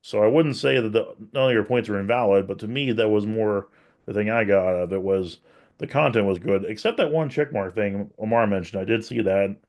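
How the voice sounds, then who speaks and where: A man's voice: fairly low pitch, brisk, darkish tone. A man co-hosting a podcast from a virtual meeting, giving a thoughtful, self-deprecating evaluation of a speech, with frequent restarts. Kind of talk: casual evaluative discussion. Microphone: video call.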